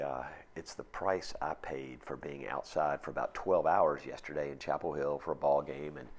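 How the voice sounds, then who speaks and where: Hoarse, very deep-voiced male pastor. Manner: folksy, conversational preaching with reflective asides. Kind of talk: sermon.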